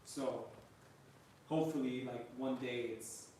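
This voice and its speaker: A man's voice: mid-range voice, takes it slowly, warm tone, recorded from a distance. A young man with a French Canadian accent, a native French speaker from Montreal, chatting casually on a travel livestream with many fillers. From Montreal, Quebec, Canada. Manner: rambling, many "like" fillers and restarts. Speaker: travel vlogger hosting a livestream. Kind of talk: casual live chat reading viewer questions. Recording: echoey room, off-mic, faint noise.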